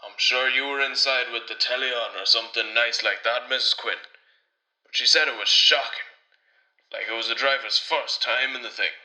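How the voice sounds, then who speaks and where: A man's voice: medium pitch, moderate pace, sharp tone, roomy sound, rough recording. A man playing a neighbourly Irish tradesman who talks in a hesitant, roundabout, deferential way on a radio drama voicemail. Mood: awkward concern. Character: neighbourly Irish tradesman, awkwardly breaking worrying news.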